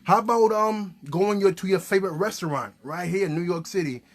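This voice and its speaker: A man presenting a children's puppet show, playfully coaxing with questions and hesitant pauses. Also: high-pitched.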